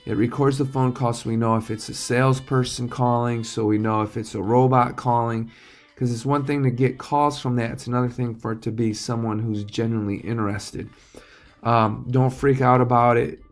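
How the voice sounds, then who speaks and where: A man's voice: mid-range voice, fairly even intonation, normal speed. A man giving an informal, plain-spoken training talk to new staff as their manager, often checking that they follow. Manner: plain-spoken, instructive, checks understanding with tags.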